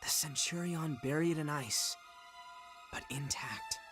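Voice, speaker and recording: An actor playing a steady young male squad leader who also narrates in a measured, earnest way. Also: fairly high pitch, soft background music. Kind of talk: game narration and dialogue. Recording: game audio under loud score.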